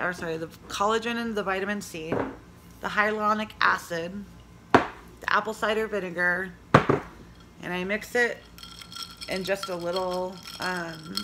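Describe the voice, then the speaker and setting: Hoarse, chatty woman on a phone camera. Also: lowish voice, background noise. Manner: chatty, rambling, reads labels aloud. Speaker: vlogger. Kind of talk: casual product review vlog. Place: small indoor room at home. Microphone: phone camera at arm's length.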